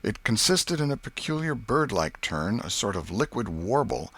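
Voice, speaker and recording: A man reading literary narration as an audiobook narrator, in an expressive, measured storytelling manner. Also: on the low side, breathy edge.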